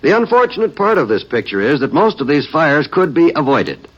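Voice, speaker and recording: A man's voice: fairly high pitch, big pitch swings, brisk, a bit bright. An American man working as a 1950s radio announcer, delivering scripted announcements in a solemn, dramatic, declamatory manner. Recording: muffled 1950s radio broadcast recording.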